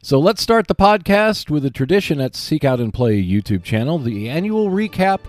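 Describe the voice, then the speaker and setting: Man hosting a podcast, lively intonation. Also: a little high, normal speed. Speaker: podcast host. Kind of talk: prepared podcast monologue about board games.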